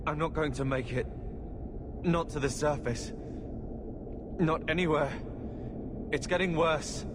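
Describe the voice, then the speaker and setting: A man's voice: fairly high pitch, a little slow, natural voice. An actor playing an ailing, bitter young man in a video game, weak and despairing as he talks. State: weak and sickly. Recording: noisy game ambience.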